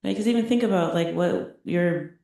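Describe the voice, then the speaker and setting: A woman's voice: on the low side, normal speed, vocal fry, dark tone. A middle-aged woman giving a chatty Buddhist teaching, often checking in with "right?" and laughing lightly. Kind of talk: conversational dharma talk. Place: meditation center teaching room. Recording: very echoey room.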